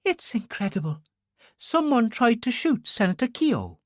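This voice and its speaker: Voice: mid-range voice, sing-song, a little slow, no room sound, clean studio sound, voice pushed up. A narrator voicing a devout, shocked nun in an audiobook thriller. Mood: shocked relief. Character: devout, shocked Irish nun, a grown woman.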